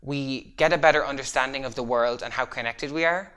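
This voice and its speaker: A woman's voice: a bass voice, a little fast, fairly bright tone, smooth. A young woman, speaking earnestly and thoughtfully in a documentary interview. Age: young adult. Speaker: youth theatre member. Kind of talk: reflective documentary talk.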